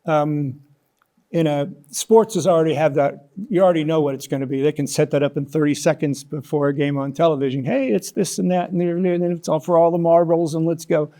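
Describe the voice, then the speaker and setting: An older American man answering as an interview guest, reflective and halting as he tells stories in a conversational way. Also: on the high side, steady tone, warm tone. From United States, Kansas. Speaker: interview guest, author and former sports journalist.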